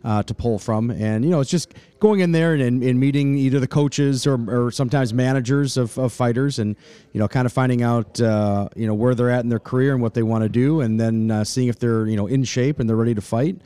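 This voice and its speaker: A man's voice: medium pitch, brisk, dark tone, smooth, no room sound. A forty-year-old man from Michigan, a fight matchmaker answering interview questions in a chatty way, full of "you know". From Michigan, USA. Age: 40s. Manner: talkative, many fillers like "you know".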